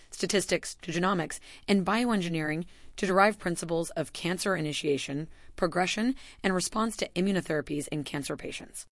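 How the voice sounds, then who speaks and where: A woman narrating a scripted announcement in an even, formal read-aloud manner. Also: low voice, no room sound, clean studio sound.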